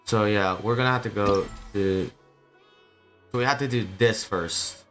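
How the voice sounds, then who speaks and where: A man livestreaming a video game with casual, halting, rambling commentary. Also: on the low side, a bit bright, faint music behind. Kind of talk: casual gameplay stream commentary.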